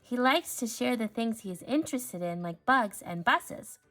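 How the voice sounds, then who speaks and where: A woman reading a children's story aloud, expressive and clearly phrased for young listeners. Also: fairly high pitch, moderate pace, faint music behind. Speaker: storybook reader.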